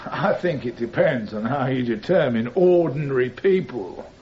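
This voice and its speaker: An actor playing a firm, guarded police commander who answers formally and tersely under cross-examination in a radio courtroom drama. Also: male voice, mid-range voice, expressive, normal speed, close to an everyday voice. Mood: measured, firm. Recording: dull radio recording with clear noise.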